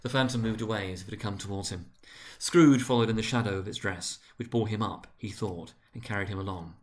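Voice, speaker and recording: A man's voice: on the low side, fairly quick. Man giving a grave, theatrical story reading. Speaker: narrator, dramatic reader. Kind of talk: dramatic storybook narration.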